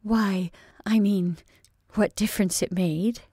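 A woman voicing a shy, gentle middle-aged woman who speaks hesitantly and softly. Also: medium pitch, steady tone, takes it slowly, no echo, studio quality. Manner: hesitant, gentle, sometimes breathy.

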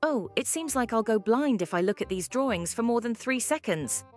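A woman's voice: on the high side, lively intonation, normal speed, soft background music, close to an everyday voice. A woman voicing a laid-back, curious young male chicken in an amateur cartoon comic dub.

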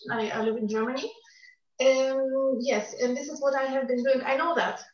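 Halting woman, roomy muffled call. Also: high voice, steady tone, darkish tone. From Ukraine. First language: Russian. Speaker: language trainer and interpreter. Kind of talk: informal self-introduction in an online meeting. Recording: muffled, roomy, distant online call audio.

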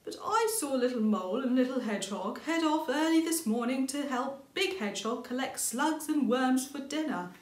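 A woman's voice: high voice, normal speed, hardly any pauses. Woman reading as a kindly old grandfather rabbit, roomy sound. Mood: kindly, playful. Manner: calm, gently teasing.

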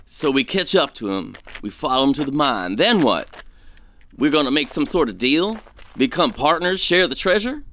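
An actor playing an uneasy, superstitious male gold prospector in an audio drama, speaking in a folksy, hesitant, excitable way. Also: a little high, moderate pace. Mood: wondering.

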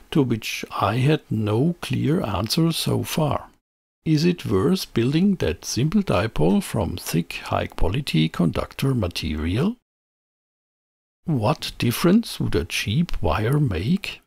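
Male tutorial narrator: scripted, explanatory, raspy with some fry, very dry close-mic sound. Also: medium pitch, darkish tone, dry recording. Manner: measured, explanatory. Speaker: presenter of an electronics tutorial channel.

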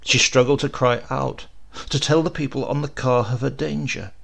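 Male audiobook narrator for horror fiction: medium pitch, noticeable vocal fry, suspenseful, theatrical phrasing that moves between emphasis and hush. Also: steady tone, normal speed. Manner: theatrical, suspenseful delivery with emphatic swells and hushed drops. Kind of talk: dramatic horror story narration.

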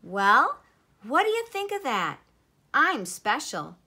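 A woman's voice: high voice, huge pitch swings, slow pace, bright, forceful tone, breathy edge, pitched above the performer's own voice, full character voice. A woman voicing an indignant little male dwarf planet in a children's storybook, with emphatic, exclamatory delivery. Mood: pleased, proud.

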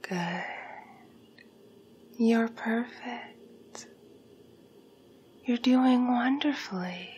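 A woman as a slow whispering vampire. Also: on the high side, darkish tone, lo-fi sound, slight background noise. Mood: hypnotic. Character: seductive female vampire feeding on the listener. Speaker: ASMR roleplay performer. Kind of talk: ASMR roleplay. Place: dark room with backdrop.

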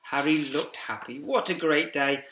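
A middle-aged man teacher reading a rhyming picture book aloud to young children in a lively sing-song way, with quiet asides to them, filmed on a laptop webcam in a classroom. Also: a little high, measured pace, a little hiss or hum. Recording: echoey classroom.

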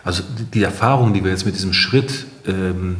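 A man's voice: low-pitched, brisk, fairly bright tone. A middle-aged man, a company co-founder, explains things conversationally in an interview, mixing in English business terms. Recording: roomy, echoing interview recording.

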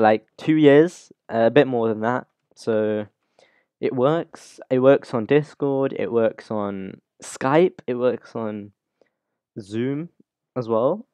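A man's voice: medium pitch, darkish tone, clear, resonant tone, no room sound. Man giving an informal tutorial, dull dry sound. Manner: informal, unscripted, rambling. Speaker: YouTube tutorial host. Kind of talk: casual software tutorial, talking through screen steps.

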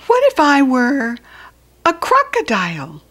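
Woman voicing a high, grumpy little storybook fox child. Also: huge pitch swings, measured pace, fairly bright tone, exaggerated voice. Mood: curious.

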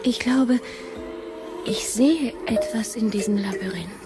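An actress playing a dreamy, devoted woman time traveller in an intimate radio drama, hushed and breathy. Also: a little high, takes it slowly, over music. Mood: wondering.

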